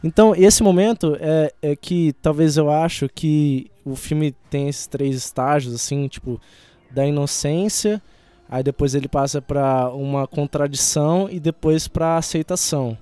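This is a Brazilian man with a fairly high, clean and smooth voice. He talks casually as part of a group discussion, pressing his points insistently and repeating himself. The sound is dry, with no room around it. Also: measured pace, warm tone, dry recording. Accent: Brazilian Portuguese. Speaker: panelist in a film discussion. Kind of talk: casual group chat about a film.

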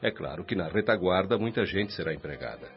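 A man's voice: deep voice, expressive, brisk, faint music behind. An actor with a Brazilian accent playing a kindly, fatherly mentor in a radio drama, speaking in a good-humoured, reassuring way. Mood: gently amused. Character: kindly, fatherly male mentor counselling an eager friend. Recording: dull lo-fi radio drama sound.

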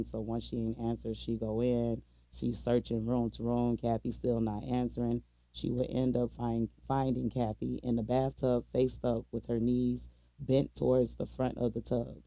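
Dark-voiced American man with a gravelly edge. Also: medium pitch, no echo. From Minnesota, United States. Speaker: podcast co-host telling a true crime case. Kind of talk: casual true crime storytelling with slang.

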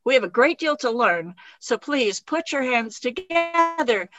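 A woman hosting a radio interview show, delivering formal, deliberate introductions and questions. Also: sits high, animated, over music. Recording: dull, dry studio sound.

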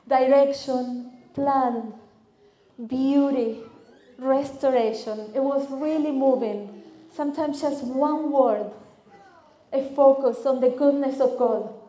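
Argentine woman preaching in an echoey hall. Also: high-pitched. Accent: Argentine Spanish. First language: Spanish. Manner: expressive, lively storytelling with vivid lists. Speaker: guest speaker. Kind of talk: sermon. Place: church hall.

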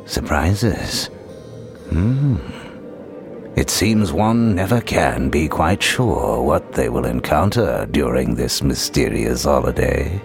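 Very deep, slow, spooky storyteller played by a man. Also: animated, vocal fry, full character voice. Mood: genial, spooky. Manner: measured, ominously playful. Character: genial, mysterious Halloween storyteller host. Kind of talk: theatrical scripted narration. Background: music.

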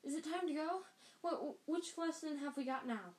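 A high, squeaky schoolgirl, flustered and fretful, who stumbles over her words in a storybook reading, as a woman puts her on. Her voice jumps well above the reader's usual pitch. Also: normal speed, a little mellow, lightly acted. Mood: startled.

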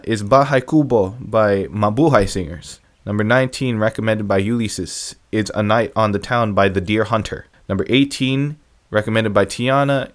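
A young man casually counting down a list of songs his viewers recommended, offhand and self-deprecating. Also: medium pitch. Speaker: music reviewer ranking viewer song picks.

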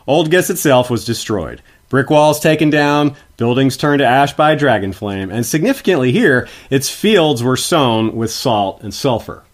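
A man's voice: mid-range voice, animated, measured pace, hardly any pauses. A man speaking as a guest history expert, giving scripted, articulate explanations in an informative lecturing manner.

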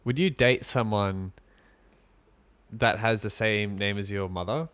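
A man co-hosting a podcast in casual chat, rambling and joking with halting restarts. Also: fairly low pitch, fairly bright tone, smooth. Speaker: podcast co-host. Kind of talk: casual chat, mock sponsor read.

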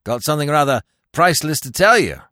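A man's voice: fairly high pitch, big pitch swings, fast, bright, forceful tone, dry recording, clean studio sound. A man voicing a carefree, foppish young upper-class man who giggles and chatters in breezy slang. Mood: chirpy.

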